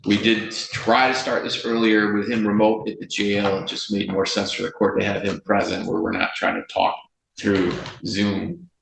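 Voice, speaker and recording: Gravelly low-voiced American male judge. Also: little pitch movement. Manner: deliberate, firm, measured phrasing with pauses. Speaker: judge presiding over a court hearing. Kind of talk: formal courtroom speech on the record. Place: indoor courtroom. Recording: roomy echo, faint noise, courtroom audio.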